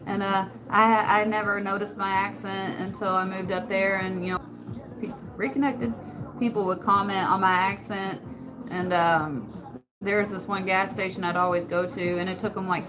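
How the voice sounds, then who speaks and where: A soft-spoken woman with a rural Western American accent, chatting casually with her viewers and laughing often. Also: medium pitch, flat delivery, moderate pace, low-quality recording. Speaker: channel host. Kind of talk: casual live chat answering comments. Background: background music.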